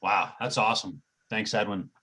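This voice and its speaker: A man moderating a career webinar, upbeat and brisk, reading scripted transitions. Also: on the low side, pushed and bright, breathy edge. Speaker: panel moderator.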